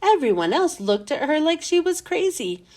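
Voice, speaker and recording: A woman's voice: high voice, very animated, moderate pace, darkish tone. A woman hosting a children's read-aloud, narrating a storybook expressively.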